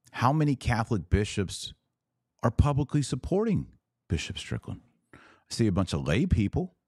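A man's voice: lowish voice, a little mellow, no room sound, clean studio sound. A man hosting a religious commentary podcast, speaking emphatically with rhetorical questions and repeated phrases for stress. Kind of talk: impassioned religious commentary to camera.

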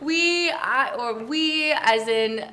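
A woman's voice: high-pitched, extremely slow, pushed and bright. A woman with a Canadian accent chatting casually as an interview guest, telling stories in an informal, rambling and giggly way. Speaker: interview guest, photographer running a letter-writing project. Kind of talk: casual chat.

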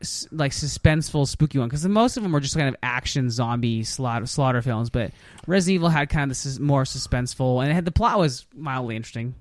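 A man's voice: medium pitch, lively intonation, quick pace, few pauses, no echo. A man hosting a podcast in casual chat, giving opinionated, loosely worded reviews. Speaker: podcast host. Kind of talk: casual chat, reviewing video game movies.

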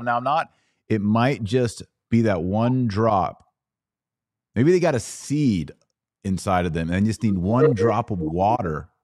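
A man with a fairly low voice hosting a podcast, speaking earnestly and conversationally. The sound is dry and close, with no room around it. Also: darkish tone, no room sound. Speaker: podcast host. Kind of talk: casual conversation.

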